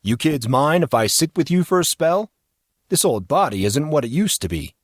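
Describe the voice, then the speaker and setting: Man playing a theatrical, playful older executive, very animated. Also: a little high, moderate pace, no echo, studio quality. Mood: genial, teasing. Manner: theatrical, teasing, animated delivery. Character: powerful masked older male executive, jovial, playful, theatrical joker. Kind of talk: audio drama character acting.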